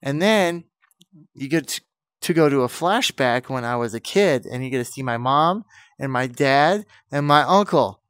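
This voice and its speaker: A man's voice: mid-range voice, expressive, moderate pace, fairly bright tone, clear, resonant tone, no room sound, studio quality. A man with a cold and a fading voice, chatting enthusiastically as a channel host. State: sick, losing his voice. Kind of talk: casual vlog intro and comedy skit.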